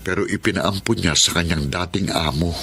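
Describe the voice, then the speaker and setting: An actor playing a soft-hearted, compassionate male attorney in a Tagalog radio drama. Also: sits low, normal speed, vocal fry. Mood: earnest, pitying.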